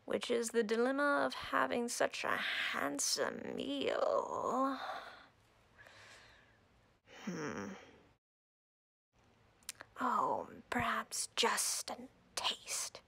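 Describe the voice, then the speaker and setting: A woman playing an imperious, coldly flirtatious drider queen in a roleplay audio, breathy and whispery, speaking haughtily and deliberately with playful menace. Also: fairly high pitch, big pitch swings, a bit bright, full character voice. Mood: predatory, teasing.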